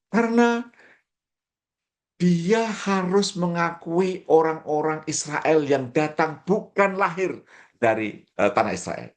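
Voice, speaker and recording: A man from Indonesia, talking through history like a lecturer. His voice sits at an ordinary middle pitch. He pauses often, fills the gaps with hesitations and restarts his sentences as he thinks aloud. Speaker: commentator. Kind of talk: explanatory political chat.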